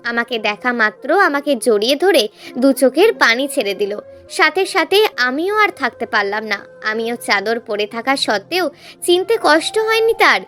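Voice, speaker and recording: Woman w a pretty high voice reading an emotional story, faint music under it. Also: very animated, normal speed, a bit bright. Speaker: story narrator. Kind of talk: scripted emotional story narration.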